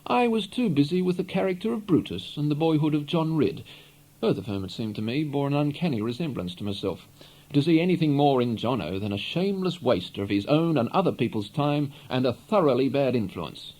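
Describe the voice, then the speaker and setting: A man reading a literary novel aloud in an expressive, measured storytelling manner. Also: on the high side, poor audio. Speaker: audiobook reader. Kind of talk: literary narration, read aloud.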